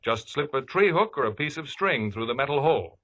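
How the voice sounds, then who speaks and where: A man's voice: medium pitch, expressive, fairly quick, fairly bright tone, muffled recording. An American man reading a scripted 1950s radio commercial as announcer, in a polished, emphatic salesman's manner.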